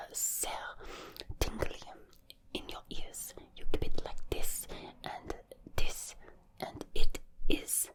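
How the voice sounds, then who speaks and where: A woman putting on a German accent as a precise ASMR whisperer, breathy, clipped and deliberate. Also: fairly high pitch.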